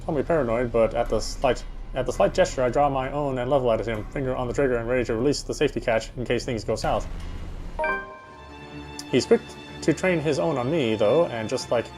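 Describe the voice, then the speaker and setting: Male gamer-narrator, medium pitch, dramatic read-aloud delivery, prominent game music underneath. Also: brisk, a little mellow.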